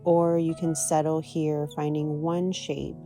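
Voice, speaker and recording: A woman with a low-pitched voice leads a guided yoga and meditation session. Her tone is soft and dark, and her delivery is gentle and unhurried. Faint guitar music plays behind her. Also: little pitch movement. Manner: gentle, soothing, unhurried guidance. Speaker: yoga teacher.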